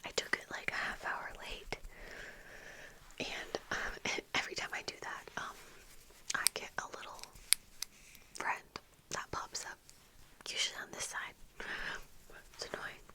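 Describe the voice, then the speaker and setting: A young adult woman whispering softly and breathily into a close microphone in casual, rambling, unhurried ASMR chat. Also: poor audio. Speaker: ASMR video creator. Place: small room at home with bookshelves. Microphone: close desk microphone with fluffy windscreen.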